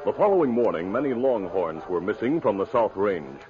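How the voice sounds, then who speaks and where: A man's voice: lowish voice, very animated, fairly quick, faint music behind. A man with an American accent narrating a 1950s radio drama in a grand, theatrical manner. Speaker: announcer and narrator. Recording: dull, noisy old radio recording.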